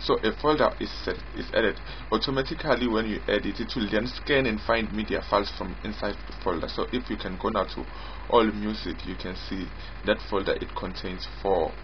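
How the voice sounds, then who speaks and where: A man giving an informal screen-recorded software demo as its developer, in a halting way, often repeating and rephrasing. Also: fairly low pitch, background noise. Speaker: software developer demonstrating own app. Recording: dull lo-fi mic with prominent music.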